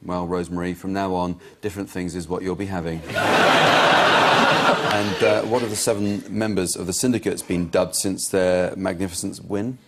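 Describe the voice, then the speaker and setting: A man hosting a comedy news quiz, reading out gags with a dry, deadpan delivery in a TV studio. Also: sits low, moderate pace, heavy background noise. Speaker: panel show host. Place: TV studio with audience.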